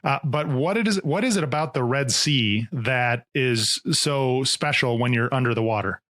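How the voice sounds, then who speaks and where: A man of middle pitch hosts an interview podcast. He asks his guest questions in a friendly way. The recording sounds dry and close. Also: moderate pace, fairly bright tone, no echo. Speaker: podcast host.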